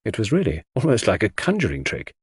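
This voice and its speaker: A man's voice: lowish voice, wildly swooping pitch, fast, slightly rough, no room sound, clean studio sound. A man narrating a mystery novel as a dramatic audiobook reader.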